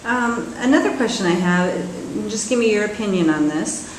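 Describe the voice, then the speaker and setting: A woman's voice: medium pitch, expressive, moderate pace, slight background noise. A woman interviewer asking long questions hesitantly, with many fillers. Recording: echoey room, faint ambience.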